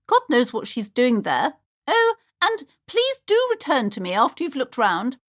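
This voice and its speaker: An actress playing an anxious, superstitious shopkeeper in a video game, speaking in a theatrical, formal and ominous way. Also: female voice, high-pitched, exaggerated voice. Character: anxious, superstitious shopkeeper woman, dramatic and doom-laden. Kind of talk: video game character dialogue.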